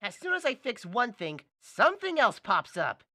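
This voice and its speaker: A man voicing an earnest, excitable young hedgehog hero with an eager delivery. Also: very high voice, normal speed, voice pushed up, full character voice. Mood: frustrated. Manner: eager, exclaiming.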